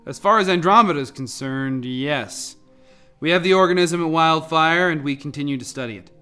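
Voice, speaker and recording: A man's voice: on the high side, moderate pace, sharp tone, smooth, faint music behind. A man acting in an audio drama as a composed, earnest scientist who explains things precisely. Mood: sober. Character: composed, earnest male scientist on a crisis team.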